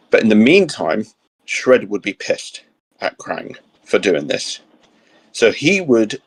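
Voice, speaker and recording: A man co-hosting a geek show, chatting casually and piecing his ideas together haltingly as he goes. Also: lowish voice, breathy edge, rough recording. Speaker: co-host of a geek discussion show. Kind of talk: casual chat, pitching ideas.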